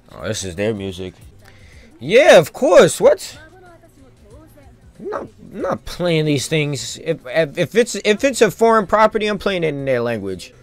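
A man streaming a video game with casual live commentary, rambling and thinking out loud in loose slang. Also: mid-range voice.

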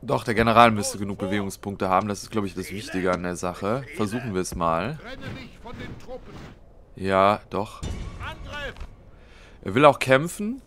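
A man's voice: fairly low pitch, normal speed, pauses often, a bit bright. Man with a cold, thinking aloud. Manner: thinks aloud, many fillers, plans moves step by step. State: has a cold. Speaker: let's play host. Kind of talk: casual gameplay commentary.

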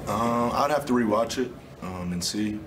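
A man in his twenties, a basketball player, answering questions at a postgame press conference in a low-key, measured way, with lots of "you know" and "um". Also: background noise. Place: press room.

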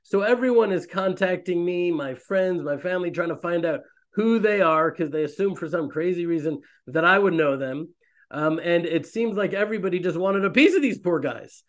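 Guy in his 30s rambling to his webcam from a little room, kinda high voice, keeps going off track. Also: moderate pace. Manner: rambling, animated, self-interrupting. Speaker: rabbi and fellowship host. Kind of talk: casual online talk to a community. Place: small indoor room. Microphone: webcam on a video call.

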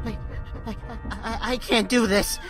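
A woman's voice: fairly high pitch, steady tone. A woman voicing a frightened, timid young male soldier in an audio drama, hesitant and stammering. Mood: panicked. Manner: hesitant, halting. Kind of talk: amateur audio drama acting. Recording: dramatic music under the voice.

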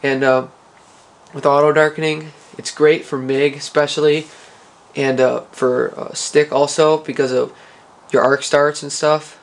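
Gravelly guy in his garage, pretty flat delivery, lots of uhs. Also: on the high side, a little slow. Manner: relaxed, many uh fillers. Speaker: hobby welder showing gear. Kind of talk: casual how-to vlog. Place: garage workshop with concrete floor.

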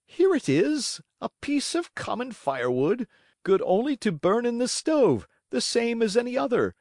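A man's voice: very high-pitched, sing-song, normal speed, a little mellow, dry recording, clean studio sound, voice pushed up, heavily acted. A man voicing a frightened old carpenter in a storybook reading, with a trembling, stuttering delivery. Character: old carpenter, jittery and blustering.

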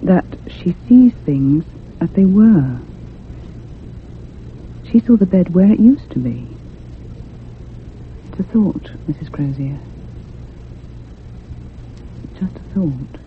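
A man playing a troubled, sensitive narrator in a radio ghost story, speaking in measured, earnest, literary phrases. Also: sits high, lively intonation, full character voice. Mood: gentle, pensive. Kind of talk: dramatic radio horror narration. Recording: tinny, narrow old radio recording with hum.

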